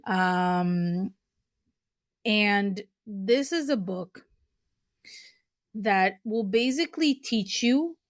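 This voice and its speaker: A young woman from Portugal hosts her podcast. She talks slowly and earnestly, pausing on ums and restarting phrases. Her voice sits at a middling pitch. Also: expressive, no room sound, clean studio sound. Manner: slow, earnest, with fillers and restarts. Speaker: podcast host. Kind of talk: casual podcast talk recommending books.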